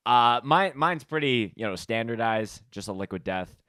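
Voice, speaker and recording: A man's voice: mid-range voice, animated, moderate pace, pushed and bright, dry recording, clean studio sound. A man co-hosting a podcast in a casual, informal and chatty way. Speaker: podcast co-host.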